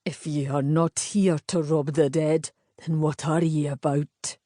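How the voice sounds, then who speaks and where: A woman reading aloud plays a wounded Scottish knight, low and dark-toned, wary and snarling. The accent is Scottish, the manner suspicious and curt, and the sound is dry and close. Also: flat delivery, a little slow, no room sound. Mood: grim. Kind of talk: audiobook character voice.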